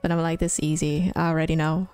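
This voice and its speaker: A woman's voice: low-pitched, flat delivery, fast talker, darkish tone. A young woman whose first language is Chinese, chatting casually while she streams a game, with many fillers and restarts. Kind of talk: casual chat while gaming. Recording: faint music behind.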